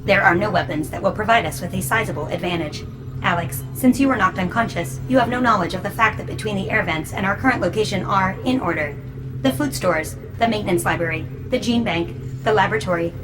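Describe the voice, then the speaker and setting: An emotionless robot servitor, voiced by a woman, speaks in a mid-pitched feminine voice for a sci-fi audio drama. Its phrasing is formal, literal and precise, with detached machine calm. Music plays clearly underneath. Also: fast, close to an everyday voice, rough recording. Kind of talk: scripted audio drama acting.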